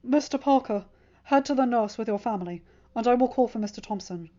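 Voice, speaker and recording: A woman voicing a stern male school principal with a firm, formal, measured manner in a story. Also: fairly high pitch, mellow, dull audio, close to an everyday voice. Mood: apologetic, official.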